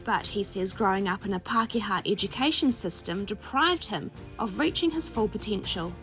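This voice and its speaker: A woman, narrating a news feature in a measured, clear way. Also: mid-range voice, animated, fairly bright tone, faint music behind. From New Zealand. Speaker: news presenter.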